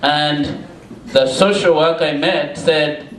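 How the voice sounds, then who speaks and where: Higher-pitched Ugandan man speaking slowly, echoey room. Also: fairly bright tone. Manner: deliberate, with emphatic repetition. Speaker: guest speaker, founder of children's schools. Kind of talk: public storytelling address. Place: indoor cafe gallery open mike.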